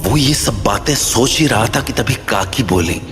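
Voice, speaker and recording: A man's voice: medium pitch, animated, unhurried. A man narrating a scripted horror story as the storyteller of an audio drama. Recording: long reverb, music bed.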